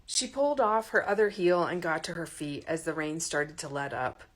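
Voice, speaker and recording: Low-pitched woman narrating fiction. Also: moderate pace, rough recording. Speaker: audiobook narrator. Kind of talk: expressive narration of romantic comedy fiction.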